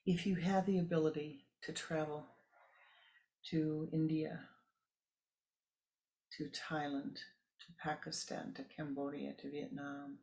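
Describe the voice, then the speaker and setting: A man's voice: on the high side, little pitch movement, slow pace, vocal fry, long pauses, a little mellow, low-quality recording. A man giving a spiritual talk, musing aloud and repeating words for emphasis. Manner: emphatic repetition, musing asides. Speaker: spiritual teacher. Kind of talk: sermon.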